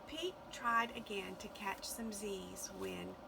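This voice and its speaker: Slow, creaky-voiced woman reading a storybook. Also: medium pitch, noisy background. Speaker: storybook reader. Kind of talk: expressive children's read-aloud narration.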